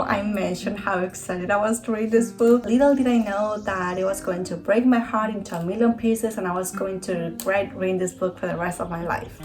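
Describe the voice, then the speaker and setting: A woman's voice: medium pitch, a little mellow, rough recording. Spanish-accented woman chatting about books. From Spain. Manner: chatty, enthusiastic, self-correcting. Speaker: book vlogger. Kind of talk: casual book review talk.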